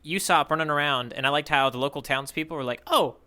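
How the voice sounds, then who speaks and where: A man's voice: fairly high pitch, fast talker, bright, forceful tone. A man co-hosting a podcast in casual chat, riffing on tangents and tossing in little sound effects. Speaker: podcast co-host.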